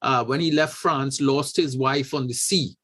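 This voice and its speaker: A man from Trinidad and Tobago, a guest in an interview, telling a family story in an unhurried, anecdotal way with frequent restarts. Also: mid-range voice, fairly bright tone. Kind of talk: conversational storytelling.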